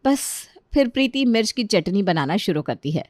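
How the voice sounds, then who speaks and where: A woman with a mid-pitched voice narrates a scripted domestic story. The recording is dry and close, with no room sound. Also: very animated, normal speed, a little mellow, dry recording.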